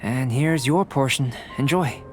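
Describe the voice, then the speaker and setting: An actor playing a courteous, measured young scholar in a video game, speaking in an articulate, formal and thoughtful way. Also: male voice, mid-range voice, faint music behind, close to an everyday voice. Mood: polite, composed. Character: calm, curious young male alchemist scholar, courteous and measured. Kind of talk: scripted video game dialogue.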